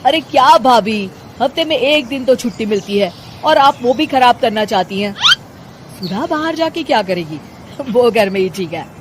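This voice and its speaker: A woman's voice: fairly high pitch, lively intonation, normal speed, lightly acted. A woman voicing a childish young man in a cartoon story, casual and wheedling, with faint noise behind. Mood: carefree, dismissive. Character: childish, pleading young man who adores his sister-in-law. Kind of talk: cartoon moral story acting.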